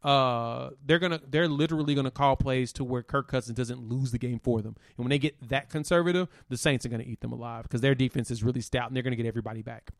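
A man's voice: medium pitch, fast talker, vocal fry, few pauses, dry recording, clean studio sound. A man co-hosting a sports podcast, chatting casually and laughing as he tells stories. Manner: relaxed, laughs often, storytelling.

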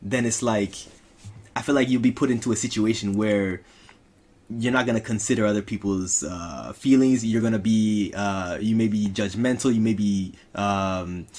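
A man's voice: fairly low pitch, normal speed, darkish tone. A man co-hosting a casual podcast, explaining his point at length with examples. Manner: explains at length with examples, says "you know what I mean". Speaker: podcast co-host. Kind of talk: casual chat.

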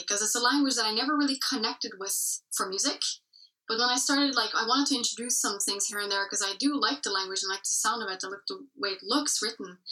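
A woman's voice: a little high, pushed and bright, far from the mic, poor audio. A woman musician talking in a casual interview, reflective and searching for words with many fillers and restarts, speaking English as her first language. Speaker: musician guest.

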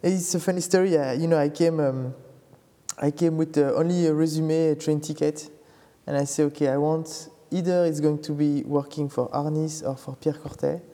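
A man from Belgium being interviewed in an atelier, speaking hesitantly with many fillers and halting phrases. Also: on the high side, steady tone, dark tone, long reverb tail. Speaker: guest, brand founder. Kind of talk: casual interview. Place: fashion atelier.

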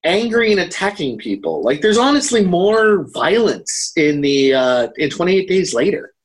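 Male podcast co-host, slightly high voice, loose rambling conversational delivery. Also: big pitch swings, moderate pace, slightly rough. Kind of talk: casual chat about horror books and films.

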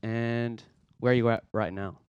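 A man, hosting a casual faith podcast in an earnest, halting way with many restarts. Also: mid-range voice, steady tone, smooth, no echo, dull audio. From Philippines, raised in Australia. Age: 20s. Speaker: podcast host. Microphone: podcast microphone.

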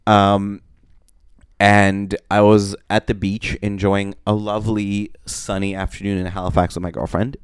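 Low smooth-voiced man with a deadpan delivery. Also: measured pace, no room sound, clean studio sound. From Russia. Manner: deadpan storytelling, bursts loud when riled. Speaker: podcast co-host. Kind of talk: casual comedic chat.

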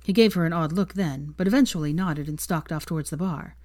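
Low-pitched woman narrating a story. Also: brisk, a little mellow, dry recording, studio quality. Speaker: fan fiction reader, narrator. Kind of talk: scripted story narration with character voices.

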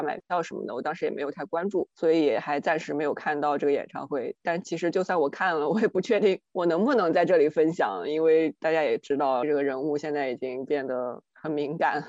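A woman's voice: low-pitched, darkish tone, no room sound. A woman co-hosting a podcast, telling personal anecdotes in a casual, exasperated, rambling way. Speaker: podcast co-host. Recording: dry, slightly dull lo-fi audio.

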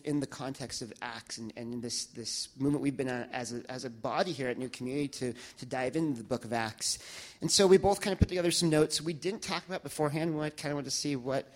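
A young man speaking to his church congregation, reflective and halting, with many restarts and fillers. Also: mid-range voice. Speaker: church member sharing reflections.

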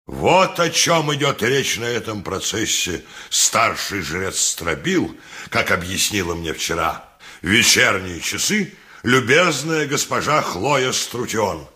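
Forceful, slow, preaching high priest. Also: male voice, very animated, full character voice. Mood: fervent, righteous. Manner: rhetorical, declamatory sermonizing. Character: solemn, righteous male high priest preaching against a rival. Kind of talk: 1980s Soviet radio play acting.

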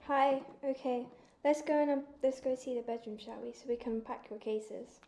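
A female performer playing a timid, kindly bullied orphan girl in a wartime drama, hesitant and gentle. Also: fairly high pitch, steady tone, normal speed, natural voice.

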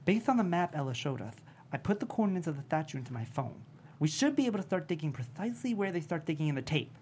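A woman's voice: low voice, sing-song, quick pace, darkish tone, a little hiss or hum. A woman playing a confident, tech-savvy teenage boy sidekick in a script table read.

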